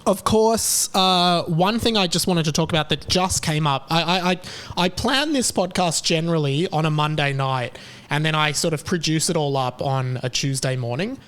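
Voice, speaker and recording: A young Australian man talks alone into a podcast mic, chatty and rambling. His voice sits a bit high and sounds smooth and clear. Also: normal speed, a bit bright. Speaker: podcast host. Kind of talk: casual solo podcast monologue.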